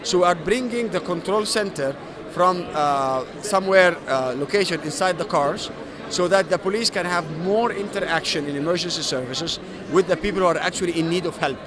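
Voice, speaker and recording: A man's voice: high-pitched, brisk, a crowd in the background. A middle-aged man from Egypt, a solution architect, answering questions on a noisy exhibition floor and explaining at length with many "uh" fillers. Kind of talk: trade show interview. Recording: noisy trade show floor.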